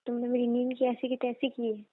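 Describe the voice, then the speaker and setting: A young woman with a high voice talks in a private, casual phone chat. She is coy and keeps gently protesting and pleading. The sound is narrow and phone-like, with no room around it. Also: completely flat delivery, fairly quick, no room sound. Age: young adult. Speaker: girlfriend on a phone call. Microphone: phone call. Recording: muffled narrow-band phone call recording.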